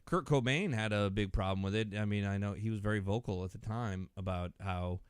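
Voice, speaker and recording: Low, smooth-voiced man in casual chat. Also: brisk, dry recording, clean studio sound. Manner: rambling, candid, often breaking off mid-thought. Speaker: podcast co-host.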